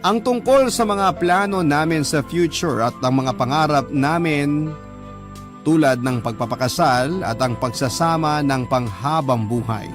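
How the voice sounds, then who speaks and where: A man's voice: on the high side, measured pace. A man with a Filipino accent narrating a heartfelt letter as a dramatic radio storyteller. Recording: music bed behind voice.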